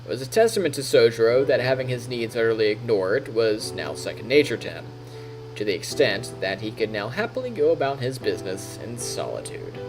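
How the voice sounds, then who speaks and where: A man narrates a visual novel in an animated voice that moves up and down freely. He reads like a storyteller on a stream and drops in casual asides. Music plays behind him. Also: medium pitch, a little fast, low-quality recording.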